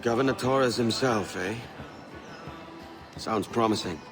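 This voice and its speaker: A man's voice: lowish voice, slow pace. A man playing a cocky, roguish young pirate captain in a video game cutscene. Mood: wry. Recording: loud music behind dialogue.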